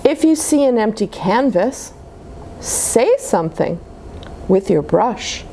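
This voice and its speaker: A woman reads aloud for young children with a highly animated delivery. Her voice sits a little high and moves at an unhurried pace, explaining things simply as she goes. There is some background noise. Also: low-quality recording. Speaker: children's storybook reader at a church.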